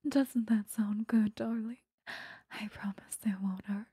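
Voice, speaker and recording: Obsessive vampire seductress acted by a woman for intimate ASMR roleplay: very breathy whisper, dark and soft, menacingly possessive. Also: medium pitch, fairly even intonation, no echo, clean studio sound. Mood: hungry, possessive. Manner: slow, coaxing, intimate. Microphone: close microphone.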